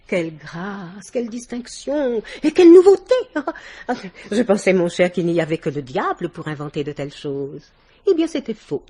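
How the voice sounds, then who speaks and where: A woman's voice: mid-range voice, very animated, normal speed, dark tone, breathy edge. An actress playing a worldly, domineering older society woman in a vintage French radio drama, theatrical and effusive with little laughs. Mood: gushing, flattering.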